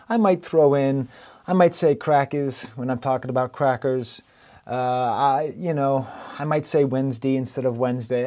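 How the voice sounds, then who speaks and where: A man's voice: mid-range voice, darkish tone. A man in his forties from the Northeastern US, giving a wry, self-deprecating video essay from a home study with bookshelves. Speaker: music reviewer and language academic.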